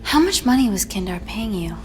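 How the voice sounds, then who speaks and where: An actress playing a determined war-zone veteran who demands accountability, in film drama style. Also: female voice, medium pitch, sing-song, a little fast, a little mellow, natural voice. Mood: confrontational. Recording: music behind the dialogue.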